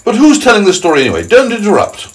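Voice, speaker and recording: A man's voice: medium pitch, big pitch swings, measured pace, pitched above the performer's own voice. A man voicing a boastful, bossy giant centipede from a children's storybook, theatrical and gleeful. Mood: indignant, bossy.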